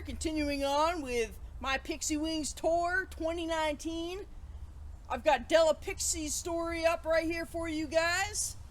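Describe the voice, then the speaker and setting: A high-pitched woman speaks to children in a playful, chatty read-aloud style. She sounds like a story time host addressing young listeners, with clear ambient noise around her voice.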